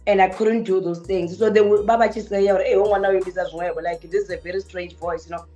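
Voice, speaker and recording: A woman with a Venda accent and a deep voice, a musician recalling her village childhood as a guest on a radio show. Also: fast talker, muffled recording, faint music behind. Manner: relaxed, reflective, mixes English and Venda. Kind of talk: casual radio interview. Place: radio studio.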